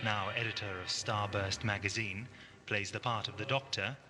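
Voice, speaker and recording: A man's voice: on the low side, fairly even intonation, normal speed, over music. A man reading a scripted introduction as an announcer. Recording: faint hiss on old cassette.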